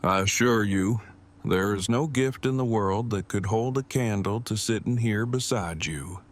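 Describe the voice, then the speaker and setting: A man's voice: on the low side, a little slow, lightly acted. A man acting in an audio drama as a formal, precise man in his thirties who speaks in long, pedantic phrases and is devoted to his partner. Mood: content, affectionate.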